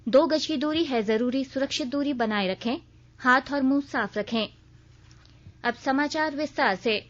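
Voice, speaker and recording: High-voiced woman reading a formal news bulletin. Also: highs cut off. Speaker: news reader.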